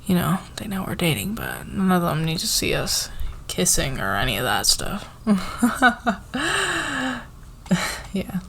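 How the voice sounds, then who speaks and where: A woman playing a flustered, fair-minded company boss in a casual roleplay ASMR, with a halting, informal, giggly manner. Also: fairly low pitch, wildly swooping pitch, vocal fry, a bit bright, slight background noise, full character voice. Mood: relieved, intimate.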